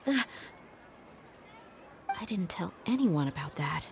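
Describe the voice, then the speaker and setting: A woman's voice: on the low side, big pitch swings, takes it slowly, creaky, background noise, full character voice. A woman voicing a tough, protective young mercenary with a casual, direct and confident manner in a video game. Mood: puzzled, frank.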